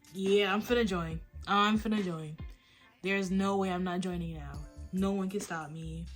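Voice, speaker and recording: A young adult woman, chatting casually and telling stories in a late-night Q&A at home. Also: lowish voice. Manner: chatty, animated storytelling with lots of "like" and "y'all". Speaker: vlogger. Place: at home, face mask on.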